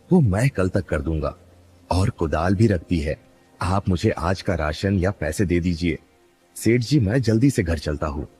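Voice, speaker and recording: A man's voice: deep voice, darkish tone. A man playing a poor, earnest village labourer in a cartoon moral story, with a pleading, trusting manner.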